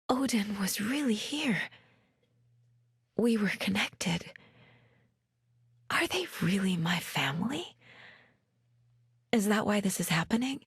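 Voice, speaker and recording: Serious, determined female investigator, lowish voice. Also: slightly rough, no room sound, studio quality. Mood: hushed, worried. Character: determined, sharp female FBI investigator, guarded yet driven. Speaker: video game voice actress. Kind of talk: naturalistic video game dialogue acting.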